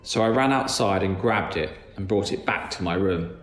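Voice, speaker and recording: Low-voiced man narrating, echoey room. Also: normal speed. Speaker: guest story reader. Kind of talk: scripted first-person horror story narration. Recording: echoey room with background noise.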